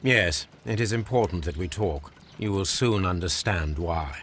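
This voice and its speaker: An actor playing a cool, shrewd Victorian detective in a video game, speaking formally and incisively. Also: male voice, deep voice, unhurried, fairly bright tone, slight background noise. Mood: coolly accusing. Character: cool, shrewd Victorian male detective, self-assured and probing. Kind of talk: video game cutscene acting.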